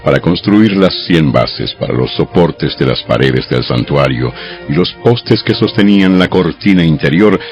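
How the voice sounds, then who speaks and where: A man narrating scripture from a script in a measured, solemn, deliberate manner. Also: low voice. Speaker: audio Bible narrator. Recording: dull lo-fi audio with background music. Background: background music.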